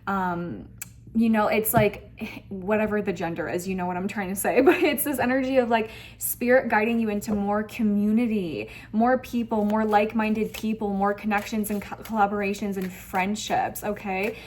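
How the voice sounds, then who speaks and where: A woman's voice: medium pitch, steady tone, normal speed. A woman giving a spiritual tarot reading to viewers, speaking in an effusive, emphatic way and often repeating phrases. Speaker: tarot reader hosting an online reading.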